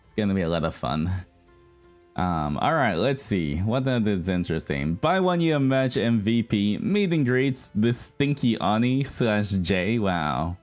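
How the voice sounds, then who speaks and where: A man with a fairly low voice hosts a casual livestream. He rambles along informally, talking straight to his audience as a group. Music plays behind him. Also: big pitch swings, unhurried. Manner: rambling, addresses audience as guys. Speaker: livestream host, virtual streamer. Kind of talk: casual livestream chat with viewers. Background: background music.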